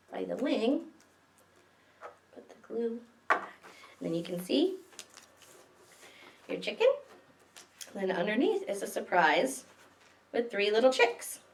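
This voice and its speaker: A woman's voice: mid-range voice, vocal fry, distant mic. Echoey woman hosting children's story time. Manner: simple, child-directed explaining with questions. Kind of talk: picture-book reading and simple craft demonstration for small children. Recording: echoey room, away from the mic.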